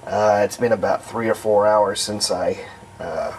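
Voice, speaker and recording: A man's voice: on the low side, fairly even intonation, a little slow, darkish tone, a little hiss or hum, poor audio. A man giving a casual, unscripted how-to narration as a hobbyist restorer, folksy and rambling as he thinks aloud. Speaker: hobbyist restorer presenting a repair.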